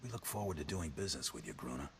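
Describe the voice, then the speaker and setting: An actor playing a cool, tough hired gun in a video game, with a dry, sardonic way of talking. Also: male voice, rumbling low, big pitch swings, rapid-fire, a little hiss or hum. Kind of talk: video game dialogue acting.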